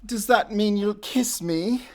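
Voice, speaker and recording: A man playing a flamboyant, lecherous vampire aristocrat who pleads theatrically in a dark comedy audio drama. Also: high-pitched, takes it slowly. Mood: pleading. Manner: theatrical, drawling, dramatic sighs and exclamations.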